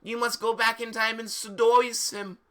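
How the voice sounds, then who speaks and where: Man putting on a high, melodramatic witch professor. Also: level tone, moderate pace, pushed and bright. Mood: solemn, commanding. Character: melodramatic female witch professor and fortune-teller.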